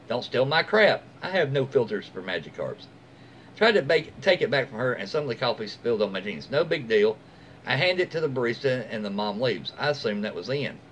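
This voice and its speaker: Animated, folksy American man reading aloud. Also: mid-range voice. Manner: folksy, informal. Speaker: host reading online stories aloud. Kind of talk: casual read-aloud with asides. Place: small room at home, indoors. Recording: faint room noise behind voice.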